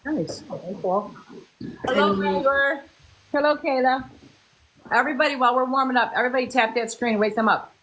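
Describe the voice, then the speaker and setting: A woman's voice: high-pitched, brisk, noisy background. A woman hosting a casual live stream, greeting viewers by name and chatting with her guests. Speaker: host.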